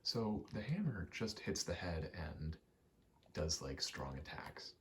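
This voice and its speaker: A soft-spoken man giving rambling ASMR game commentary, halting and casually profane, often slipping into a whisper. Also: low-pitched, big pitch swings, a little hiss or hum. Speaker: gaming video creator.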